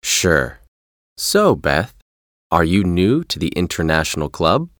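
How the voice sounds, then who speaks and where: A man reads the part of a friendly young club member with a very deep voice. He speaks slowly and clearly, and his pitch moves a lot as he greets a newcomer in a textbook dialogue. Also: sing-song, a bit bright, no room sound, clean studio sound, full character voice. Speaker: language lesson dialogue character.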